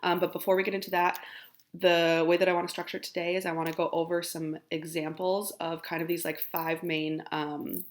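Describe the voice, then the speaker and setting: A woman's voice: on the low side, level tone, brisk. A young woman teacher with a crackly morning voice, talking casually to her class with lots of ums. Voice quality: gravelly. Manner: casual with many ums, encouraging. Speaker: high school teacher. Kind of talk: recorded lesson to students.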